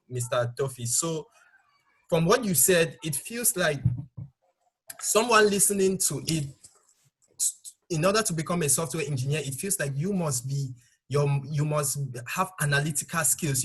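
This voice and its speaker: A man with a somewhat husky voice hosts an online talk. He poses long, carefully framed questions in a polite, measured way. He is heard over a video call connection. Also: a little high, fairly bright tone. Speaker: host interviewer. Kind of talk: moderating an online interview.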